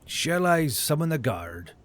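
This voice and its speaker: A man's voice: a little high, big pitch swings, measured pace, fairly bright tone. A man voicing a dutiful bearded town guard captain in a fantasy audiobook, with formal, old-fashioned speech.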